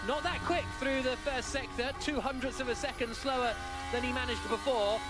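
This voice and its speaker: A man giving brisk, excited live motor racing commentary on radio. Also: sits high, poor audio.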